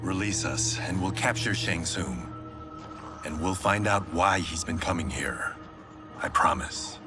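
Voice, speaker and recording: A man's voice: deep voice, over loud music. An actor playing an earnest, level-headed swordsman, speaking seriously in a video game cutscene. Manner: measured, serious, sincere.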